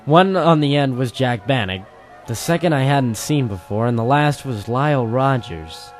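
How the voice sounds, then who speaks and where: A hardboiled private eye played by a man tells his case in a wry, dramatic voice of middling pitch. The delivery is old-time noir radio storytelling, with music playing underneath. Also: animated, normal speed, close to an everyday voice. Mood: wry, watchful.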